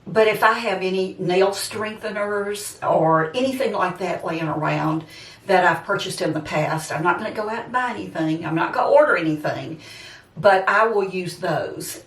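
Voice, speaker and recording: A woman in her seventies chatting casually and a bit ramblingly to her viewers, addressing them directly. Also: fairly low pitch. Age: 70s, seventy-six. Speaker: vlogger. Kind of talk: casual personal chat to viewers. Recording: roomy echo, distant microphone.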